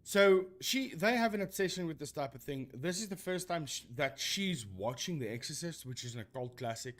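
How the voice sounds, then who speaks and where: Animated man rambling on stream. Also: on the high side, moderate pace. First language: Afrikaans. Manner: rambling, self-correcting, animated. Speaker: streamer debunking ghost videos. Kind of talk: casual live-stream commentary.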